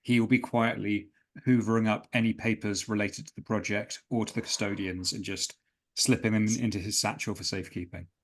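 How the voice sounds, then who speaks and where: A man playing a tabletop roleplaying game, describing his character's actions in measured, storylike phrases. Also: fairly low pitch, fairly even intonation.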